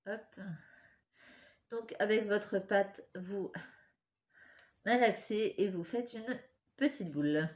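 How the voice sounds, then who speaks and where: A woman's voice: medium pitch, takes it slowly, long pauses. Young woman with a head cold, congested nose, talking viewers through a craft tutorial in a chatty, apologetic way. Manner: chatty, apologetic, talks while working with her hands. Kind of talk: casual step-by-step craft tutorial.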